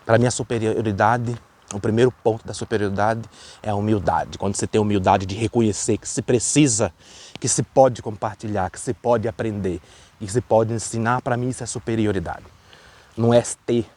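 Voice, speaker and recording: A man's voice: on the low side, fairly even intonation, fairly quick, mellow. A man from Brazil with a Brazilian Portuguese accent, giving an earnest, emphatic opinion monologue to camera. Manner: earnest, repetitive, emphatic preaching. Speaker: vlogger.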